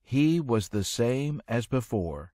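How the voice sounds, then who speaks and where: A man narrating a rhyming children's story in an expressive, measured storytelling manner. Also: mid-range voice, no room sound, clean studio sound.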